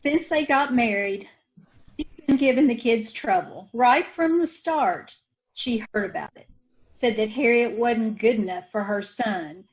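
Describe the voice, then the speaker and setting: A bitter, worn-down woman with a folksy drawl of broken grammar spits out her grudges, as an actress plays her in a radio play. Her voice sits a little high for a woman. She stays defiant and resentful throughout. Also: a little slow, natural voice, poor audio. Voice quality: folksy ungrammatical speech, resentful. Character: bitter poor woman, protective sister. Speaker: radio actor. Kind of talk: old-time radio drama acting.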